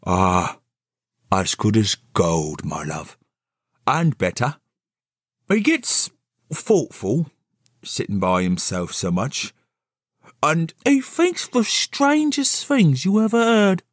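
A man voicing a gentle, earnest Victorian father in an audio storybook, tender and exclaiming. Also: mid-range voice, huge pitch swings, slow, long pauses, dry recording, clean studio sound, voice pushed up, heavily acted. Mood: tender, fond.

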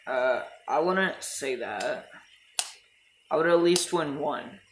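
A man's voice: on the high side, lively intonation, slow pace, reverberant, background noise. A teenage boy in casual voice chat while gaming, bossy and trash-talking. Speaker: gamer.

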